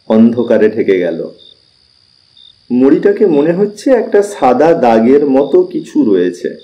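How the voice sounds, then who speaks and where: A man narrating a scripted suspense story in a measured, deliberate storytelling manner, with pauses. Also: mid-range voice, dark tone, low-quality recording. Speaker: audiobook narrator.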